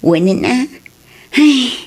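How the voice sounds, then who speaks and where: A woman's voice: sits high, animated, very slow, husky, full character voice. A woman with an Ilocano accent playing a hurt, resentful wife of a poor villager in a radio drama.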